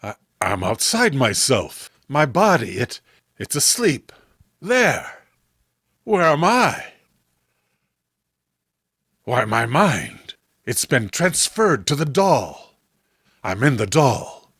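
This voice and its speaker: A man voicing a desperate, horrified man trapped inside a doll, halting and melodramatic, in a horror comic. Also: mid-range voice, sing-song, a bit bright, husky, dry recording, clean studio sound, heavily acted. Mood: dazed, horrified. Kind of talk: horror comic read-along acting.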